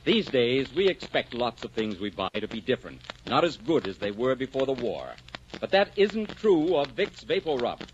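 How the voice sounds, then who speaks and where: A man announcing a 1940s radio commercial with formal, emphatic pitchman delivery. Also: big pitch swings, normal speed. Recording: dull, noisy old radio recording.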